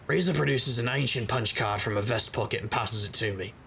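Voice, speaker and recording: An actor playing a wry, sardonic supernatural private investigator who narrates in conversational noir style. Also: male voice, medium pitch, fairly quick, creaky, pushed and bright, a little hiss or hum. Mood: wry, reflective. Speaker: narrator and lead character. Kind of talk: noir first-person scripted narration.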